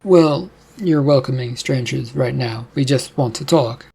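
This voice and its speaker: A man's voice: medium pitch, unhurried, creaky, mellow, husky, exaggerated voice. A man voicing a skeptical, probing female detective partner.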